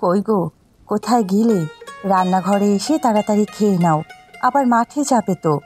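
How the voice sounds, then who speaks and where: A woman's voice: mid-range voice, normal speed, darkish tone, soft background music, natural voice. A woman acting a coaxing village housewife. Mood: coaxing, nagging. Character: caring, gently nagging young village housewife. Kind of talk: Bengali cartoon story dialogue.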